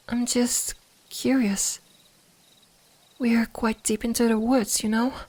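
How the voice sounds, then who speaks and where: A woman playing a gentle, curious kitsune fox spirit in an ASMR roleplay, speaking softly, soothingly and a little hesitantly. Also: on the high side. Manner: soft-spoken, soothing, hesitant, at times whispering.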